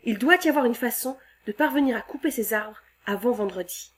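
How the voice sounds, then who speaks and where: A woman's voice: a little high, lively intonation, brisk, slight background noise, close to an everyday voice. A woman voicing an anxious, earnest male lumberjack foreman in a read-aloud storybook, with a raised, eager voice. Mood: worried.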